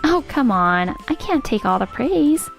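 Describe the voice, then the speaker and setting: Woman acting, manga dub heroine, high voice, sweet, loyal young fiancée, earnest, gently firm, faint background music. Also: sing-song, measured pace, vocal fry, exaggerated voice. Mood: cheerful, modest. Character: sweet, loyal young fiancée who firmly defends her partner. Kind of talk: animated manga dub dialogue.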